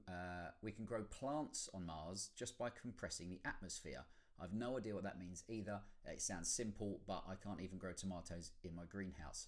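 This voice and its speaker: A man introducing a podcast in a casual, loosely scripted way, with a tongue-in-cheek, self-deprecating manner. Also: fairly low pitch. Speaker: podcast host.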